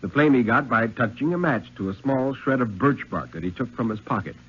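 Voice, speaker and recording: A man reading a thriller story aloud in a 1950s dramatic radio style, with suspenseful, measured phrasing and deliberate pauses. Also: medium pitch, animated, slightly rough. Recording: muffled, lo-fi 1950s radio recording.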